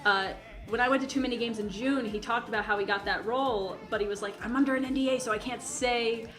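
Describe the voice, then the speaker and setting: A woman co-hosting a casual podcast, telling anecdotes in an animated way and often interrupting herself. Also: a little high, fast. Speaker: podcast co-host. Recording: roomy echo, music playing behind.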